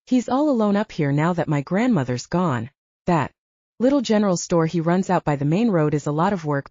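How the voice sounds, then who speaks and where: A woman narrator plays a spirited young heroine in an audiobook romance. She is frank and teasing, with an animated melody and a slightly husky edge. The sound is a little dull. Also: lowish voice, no room sound. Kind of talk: audiobook character dialogue.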